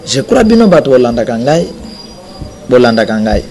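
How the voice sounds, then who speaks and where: A man's voice: mid-range voice, big pitch swings, moderate pace, warm tone, a little hiss or hum. A man with a Congolese accent preaches in an emphatic, exhorting manner, repeating phrases for weight. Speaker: preacher, self-described prophet. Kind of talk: sermon, religious address mixing two languages.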